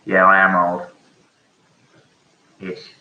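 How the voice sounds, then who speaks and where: Man, quiz host, slow unhurried delivery, echoey room with dull lo-fi sound. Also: low-pitched, completely flat delivery, noisy background. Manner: slow, repeats each question. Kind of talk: reading out quiz questions.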